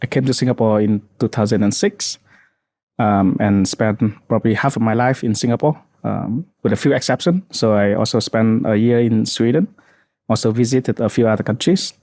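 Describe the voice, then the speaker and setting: Mellow-voiced man, Vietnamese accent. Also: fairly low pitch, no room sound, clean studio sound. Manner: earnest, halting, many fillers. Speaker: podcast guest, founder. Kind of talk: casual podcast chat.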